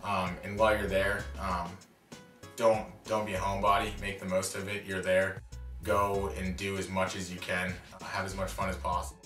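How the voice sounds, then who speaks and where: A young man from Vermont talking about travel in a relaxed, rambling way, with "uh" and "um" fillers. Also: sits low, moderate pace. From Underhill, Vermont. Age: young adult. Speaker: college student. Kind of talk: casual interview testimony. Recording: distant mic in an echoey room with faint music.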